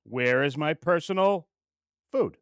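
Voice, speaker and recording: A man acts out a whiny, entitled puppet comedian in a high voice that swoops around. The complaining is slow, drawn-out and self-important, in a comic puppet-show style, recorded close and dry. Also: animated, a bit bright, dry recording, exaggerated voice. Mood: indignant, whiny. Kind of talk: puppet show parody monologue.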